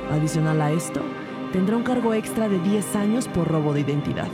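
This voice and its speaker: A woman playing a detective who narrates a crime case as scripted audio fiction, measured and serious. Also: on the low side, lively intonation, natural voice. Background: music.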